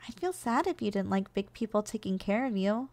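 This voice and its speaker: A woman playing a gentle, doting giantess secretary in an ASMR role-play, coaxing and nurturing in manner. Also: mid-range voice, lively intonation, a little fast, natural voice. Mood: gentle, attentive. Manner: soft, coaxing, nurturing, teasingly affectionate. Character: gentle, doting giantess secretary caring for her shrunken boss.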